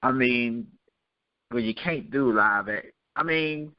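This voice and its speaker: A man's voice: mid-range voice, slow, no room sound. A man hosting a casual podcast chat, opinionated and emphatic, often repeating himself to make his point. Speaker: podcast host. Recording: dull, muffled lo-fi audio.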